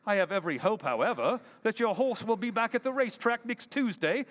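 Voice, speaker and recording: Man playing gentleman detective, high voice, lively intonation, brisk, confident, wry, theatrical, old-time radio drama. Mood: polite, enigmatic. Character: confident, clever, wryly playful gentleman detective.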